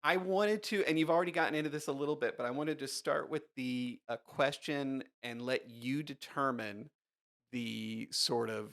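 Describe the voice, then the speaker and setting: A man's voice: mid-range voice, steady tone, moderate pace, a bit bright. A man with a Midwestern American accent, interviewing a guest in a chatty, friendly way with lots of fillers. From Indiana, US. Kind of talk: casual interview conversation.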